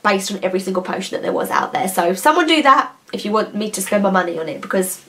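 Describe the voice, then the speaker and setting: A young woman's voice at an ordinary middle pitch, chatting eagerly to camera as a beauty vlogger. She rambles a little, trails off and restarts her thoughts, and keeps an easy, friendly flow. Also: big pitch swings, fast talker, a bit bright. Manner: chatty, enthusiastic, rambling with hesitations. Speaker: nail polish vlogger. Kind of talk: casual chat to camera.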